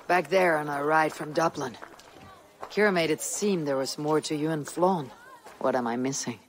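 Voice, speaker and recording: A woman's voice: sits low, moderate pace, slight background noise, natural voice. Female Viking fighter played by a woman: blunt, dry, terse, game cutscene, faint ambience behind. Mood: dry, probing. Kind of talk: video game cutscene acting.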